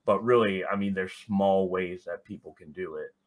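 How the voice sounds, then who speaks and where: A man's voice: deep voice, moderate pace. A man speaking as a podcast guest, giving casual, reflective explanations and checking that he is understood. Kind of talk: casual conversational interview answers.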